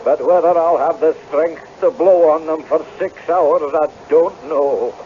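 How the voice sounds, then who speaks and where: An actor playing an aging, troubled Scottish piper, telling his tale in an expressive, folksy way for radio drama. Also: on the high side, a little slow, a little hiss or hum, natural voice. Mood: weary. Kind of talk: radio drama acting, storytelling. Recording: dull old radio broadcast.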